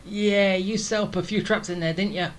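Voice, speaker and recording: A woman's voice: medium pitch, steady tone, normal speed, a little hiss or hum. A woman doing casual gameplay commentary, muttering offhand remarks to herself. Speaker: gaming streamer. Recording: loud game music behind voice. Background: game music and effects.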